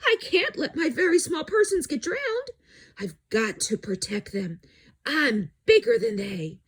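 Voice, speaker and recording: A woman voicing a big, gentle, protective male elephant in an earnest, emphatic way for a children's storybook. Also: high voice, sing-song, unhurried, voice pushed up, full character voice. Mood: worried, resolute.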